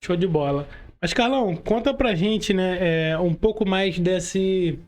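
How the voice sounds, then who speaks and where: A man's voice: sits high, unhurried. A man with a Brazilian Portuguese accent hosting a casual podcast interview, addressing people in chummy, informal slang.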